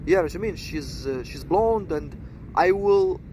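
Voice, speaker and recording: A man of about thirty-one with a Moroccan accent, rambling to viewers in halting short phrases and constantly adding tag questions. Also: high-pitched, lively intonation, warm tone, a little hiss or hum, rough recording. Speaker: seduction coach introducing own channel. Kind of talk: rambling vlog monologue.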